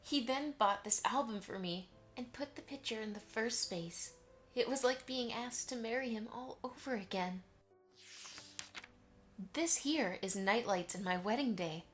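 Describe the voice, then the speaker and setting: A woman voicing a gentle, affectionate mother pony who reminisces fondly in an amateur audio drama. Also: medium pitch, natural voice. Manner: affectionate, storytelling to a child.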